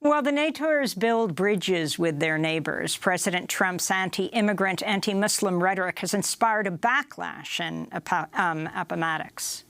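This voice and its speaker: A woman's voice: on the low side, normal speed, hardly any pauses, fairly bright tone, no room sound. A woman hosting a news broadcast, reading scripted narration and interviewing a guest.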